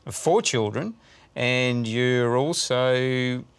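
A man's voice: medium pitch, very slow, smooth. A middle-aged man, a pastor, hosting a church broadcast in a studio with scripted, welcoming lines. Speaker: pastor and host. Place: studio with blue screens.

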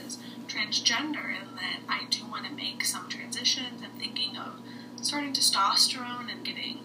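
Young female vlogger, higher voice, quiet talk in an echoey room. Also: normal speed, in a whisper. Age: young adult. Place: small bedroom. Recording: distant, roomy, noisy older home video.

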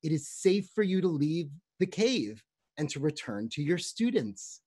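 A man voicing a wise old prophet who speaks calmly and kindly. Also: on the high side, a little slow, husky, exaggerated voice. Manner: calm, kindly. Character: calm old male prophet, wise and gentle.

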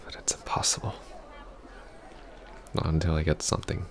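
Man acting a very deep, hesitant, heartbroken ex-boyfriend. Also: flat delivery. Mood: pleading, heartbroken. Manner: halting, hesitant, breathy half-whispers. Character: heartbroken, earnest young ex-boyfriend pleading for closure. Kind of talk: intimate ASMR roleplay acting.

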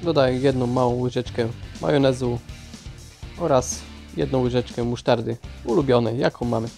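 A man's voice: medium pitch, moderate pace, creaky, warm tone. A man giving scripted recipe narration as a cooking host. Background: guitar music.

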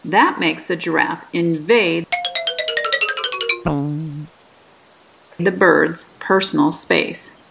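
A woman narrates a children's picture book at a slow, deliberate pace. Her voice sits on the low side with a gravelly creak, and she leans on key words for drama. Music plays behind her. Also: poor audio.

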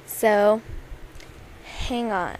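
A young woman amateur auditioning for voice-over, speaking in a halting way with occasional stumbles over words. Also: medium pitch. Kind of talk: casual intro and slow poem recitation. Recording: noisy amateur home recording.

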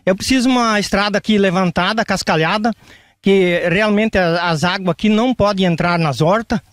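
Older Brazilian man, high halting voice. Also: fairly bright tone, no echo, studio quality. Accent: Brazilian Portuguese. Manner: halting, plain-spoken with many tag questions. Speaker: interviewed landowner. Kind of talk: interview answers. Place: outdoors in a shaded yard. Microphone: reporter's handheld microphone.

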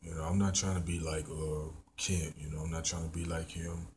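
A man with a Memphis Southern accent talks in a very low, even drone, rambling and repeating himself as he reviews food while eating. He films himself on a phone held at arm's length in a plain indoor room. A faint hiss sits under his voice. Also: a little fast. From born in Memphis, raised in Mississippi. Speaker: vlogger. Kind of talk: casual food review vlog. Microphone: handheld phone camera at arm's length.

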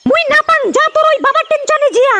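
A performer voicing a scolding, sarcastic village mother in a comedy cartoon, with a North Bengal accent and an artificially pitched-up voice. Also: fairly even intonation, fast. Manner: sarcastic, nagging, coarse-mouthed. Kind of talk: comedy cartoon dialect dialogue. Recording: loud background noise with clicks.

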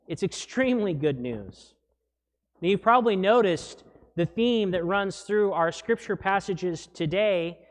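A man in his thirties, a pastor preaching a sermon from the pulpit mic, animated and wry, with small restarts. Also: high-pitched, measured pace. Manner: animated, gesturing while preaching. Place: church sanctuary, indoors. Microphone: gooseneck pulpit mic. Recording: long church reverb.

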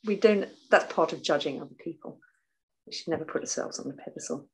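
An older woman speaks in a low, soft voice as she chats on a video call from her home. She talks with animation, moving her hands as she explains. Her delivery is gentle and unhurried. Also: a little hiss or hum, low-quality recording. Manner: animated, waves arms while talking. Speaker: guest author and artist. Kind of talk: casual video call interview. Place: home room, indoors.

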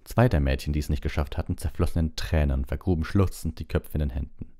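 Very deep, dark-voiced man. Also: quick pace. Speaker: audiobook reader, livestream host. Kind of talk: narration and casual livestream chat.

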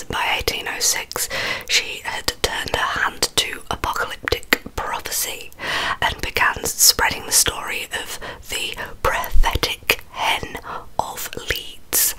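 A woman in her thirties tells a scripted story in a breathy, unvoiced ASMR whisper, close to the microphone in a small bedroom. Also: rough recording. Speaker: ASMR host. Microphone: large microphone close to the mouth.

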